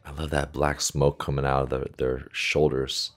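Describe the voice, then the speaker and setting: A man's voice: a bass voice, little pitch movement, normal speed, soft background music. A man hosting a reaction video, thinking aloud in casual commentary into a close desktop microphone. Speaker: reaction video host, volleyball coach. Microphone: close desktop USB microphone.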